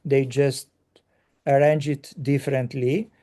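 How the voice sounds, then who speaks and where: A man hosting a casual conversation, talking haltingly with many pauses and fillers. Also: mid-range voice, flat delivery, warm tone. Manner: halting, pausing often with fillers.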